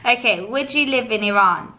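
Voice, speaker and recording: A woman's voice: fairly high pitch, normal speed, pushed and bright, poor audio. A woman, chatting casually and laughing as she answers viewers' questions. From England. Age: 30s. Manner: chatty, laughs often, many fillers. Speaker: vlogger. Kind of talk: casual Q&A chat.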